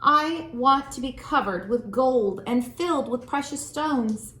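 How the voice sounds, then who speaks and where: Echoing room, hopeful young storybook tree played by a woman, male character, earnest, dreamy, rather high voice. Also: a little slow, fairly bright tone. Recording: roomy, echoing room sound.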